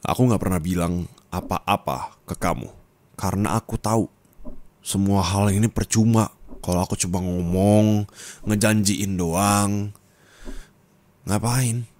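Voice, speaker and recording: Deep-voiced young guy, asmr boyfriend roleplay, low and close, scolding, sometimes gets loud. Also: steady tone, a little slow, long pauses, dry recording. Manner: low, intimate, scolding, rising to angry outbursts.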